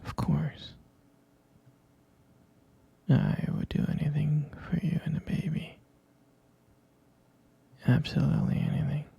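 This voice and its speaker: A man playing a devoted, soothing expectant father in an intimate ASMR roleplay, murmuring affectionately in a soft, breathy, husky whisper. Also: fairly high pitch, steady tone, full character voice. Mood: caring, soothing. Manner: slow, murmuring, reassuring, affectionate.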